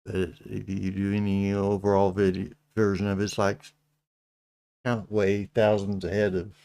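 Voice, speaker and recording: A middle-aged man with a Texas accent, hosting a casual livestream chat, speaking slowly with many halting restarts. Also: lowish voice, monotone, creaky, mellow, clear, resonant tone, dry recording. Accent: Texan. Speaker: channel host.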